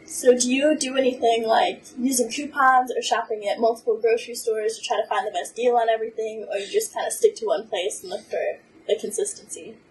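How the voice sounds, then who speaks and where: A woman's voice: fairly high pitch, fairly even intonation, fairly quick, few pauses, far from the mic, poor audio. A woman hosting a college money podcast, reading a brief introduction and then asking friendly, conversational questions. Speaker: podcast host. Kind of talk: podcast interview.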